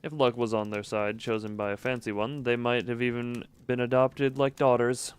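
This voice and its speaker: A man's voice: mid-range voice, level tone, moderate pace, clear, resonant tone. A man streaming a visual novel, reading the narration aloud and breaking off for casual asides and small laughs. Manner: reads narration evenly, breaks off for chuckling asides.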